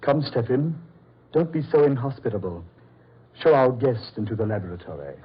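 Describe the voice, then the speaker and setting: A male actor playing a courteous, sinister mad doctor in old radio horror, silky, condescending and measured. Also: mid-range voice, big pitch swings, music in the background, close to an everyday voice. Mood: smugly courteous. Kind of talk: 1940s radio horror acting. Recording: dull, muffled old radio recording.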